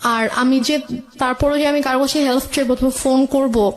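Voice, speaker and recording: A woman with a high voice and a Bangladeshi accent tells her life story as an interview guest. She speaks hesitantly, circling back over phrases and slipping in English words. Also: steady tone, a little fast. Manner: mixes English words into speech. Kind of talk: personal storytelling in a radio interview.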